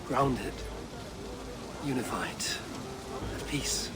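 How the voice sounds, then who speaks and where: A man playing a steady, honorable Viking clan leader in a video game, speaking in a measured, formal way. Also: medium pitch, background noise. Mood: reflective. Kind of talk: dramatic game dialogue acting.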